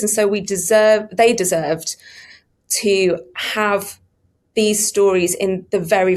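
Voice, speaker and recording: A woman's voice: medium pitch, darkish tone. A woman giving conversational, enthusiastic and emphatic answers in an interview. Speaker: audiobook publishing interviewee.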